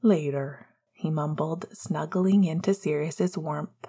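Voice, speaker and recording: A woman reading romantic fiction as an amateur narrator, in a soft, intimate, breathy way. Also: on the low side, fairly even intonation, measured pace. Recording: faint music behind voice. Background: soft music.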